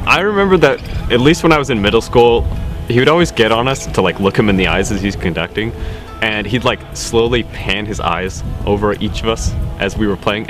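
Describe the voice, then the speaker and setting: A teenage boy tells an animated story in a casual interview, peppered with "like". His voice is on the low side. Music plays clearly under the talk. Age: teens. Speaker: student remembering a teacher.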